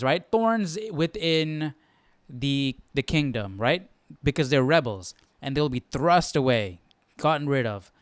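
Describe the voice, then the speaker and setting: A man gives casual live study commentary in a mid-pitched, smooth voice. He thinks aloud and keeps checking in. The recording is close and dry. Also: no room sound. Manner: informal, thinking aloud, often checks with "right?". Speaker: Bible study host.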